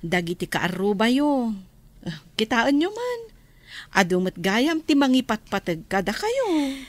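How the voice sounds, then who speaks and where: A woman's voice: fairly high pitch, wildly swooping pitch, unhurried, dry recording, heavily acted. An actress playing a composed, forgiving and reassuring lady benefactor in a Philippine radio drama.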